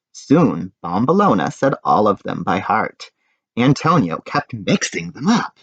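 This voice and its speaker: A man reading a picture book aloud expressively, adding asides and questions for his listeners. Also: mid-range voice. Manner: animated, prompts listeners with questions. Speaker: reader of a children's picture book, teacher. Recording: roomy, slightly dull sound.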